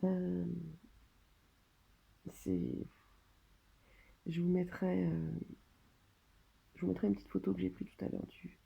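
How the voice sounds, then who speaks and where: A French woman speaking casually and hesitantly, as in a personal video diary, pausing and trailing off between phrases. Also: fairly low pitch, vocal fry, husky, muffled recording. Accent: French, from France. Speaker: vlogger documenting own surgery stay. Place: hospital room.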